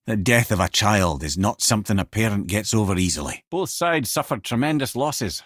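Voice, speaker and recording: A loquacious old Scottish sage, a talking head from a fantasy video game. He is wry and avuncular, spinning tales with a widely swinging, expressive pitch. The sound is dry, with no room around it. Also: moderate pace, dry recording. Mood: thoughtful. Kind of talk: video game character dialogue and storytelling.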